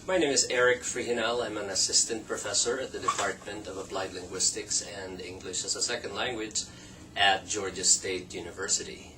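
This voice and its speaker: A man from the Philippines, a second-language English speaker, introducing an online teacher training course as an assistant professor, measured with frequent um pauses. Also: on the low side, steady tone, bright, forceful tone. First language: Tagalog and Ilocano. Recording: distant mic with faint noise.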